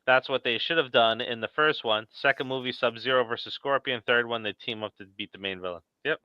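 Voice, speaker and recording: A man's voice: medium pitch, brisk, clean tone, dry recording. A man hosting a casual live-stream chat, on a slightly dull-sounding mic. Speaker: show host.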